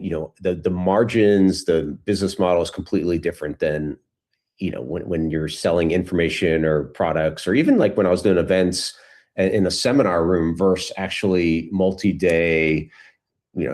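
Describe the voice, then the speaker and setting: A man's voice: low voice, darkish tone. A middle-aged man talking casually as an interview guest, telling stories in a rambling way and often restarting his phrases. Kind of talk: casual conversational storytelling.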